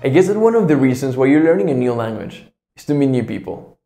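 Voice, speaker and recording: A man in his twenties with a Mexican accent, a native Spanish speaker teaching a scripted language lesson with deliberate enunciation. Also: mid-range voice, sing-song, gravelly, reverberant, over music. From Mexico, Guadalajara in Jalisco. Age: 20s.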